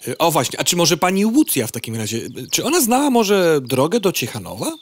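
A woman's voice: deep voice, sing-song, brisk, husky, no echo, exaggerated voice. A woman playing a hungry female housefly from the city, politely hesitant or sardonically grumbling, in a comic audio drama. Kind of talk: audio comedy drama acting.

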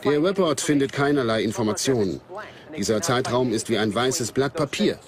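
A man's voice: medium pitch, animated, moderate pace, crowd noise, natural voice. A man explaining as an interviewed expert in a scripted documentary voice-over.

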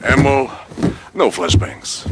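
A man's voice: mid-range voice, takes it slowly, very noisy, close to an everyday voice. A man playing a middle-aged Russian arms fixer in a video game, wry and laid-back. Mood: dry. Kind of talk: cinematic video game acting.

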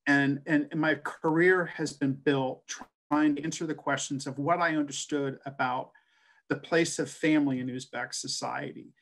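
A man's voice: a little high, steady tone, normal speed, a bit bright. A middle-aged man from the American West speaks into a webcam on a video call. He talks in an earnest, storytelling way, with many uh fillers and restarts. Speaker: translator and scholar giving a talk. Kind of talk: personal presentation on a video call.

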